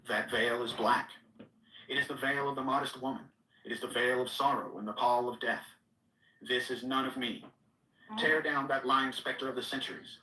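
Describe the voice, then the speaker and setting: A man's voice: medium pitch, sharp tone. A man giving a solemn, declamatory reading of archaic mystical scripture. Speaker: reader. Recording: echoey room, distant mic.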